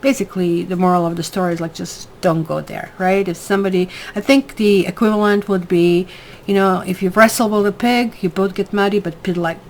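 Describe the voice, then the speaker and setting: A woman's voice: mid-range voice, a little hiss or hum. A woman from Croatia with a Croatian accent, giving a rambling, casual tarot reading full of fillers and tag questions. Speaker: tarot reader.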